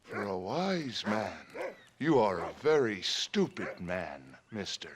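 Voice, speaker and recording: An actor playing a stoic, plain-spoken guide in a video game, speaking in terse, grave, measured phrases. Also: male voice, on the low side, very animated, husky, exaggerated voice. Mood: grave, bitter. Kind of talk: video game cutscene acting.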